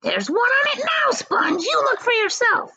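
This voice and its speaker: A woman voicing a shrill, excitable, bossy storybook villain aunt who exclaims in shrieks. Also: a little slow, dull audio, full character voice. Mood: shrill excitement.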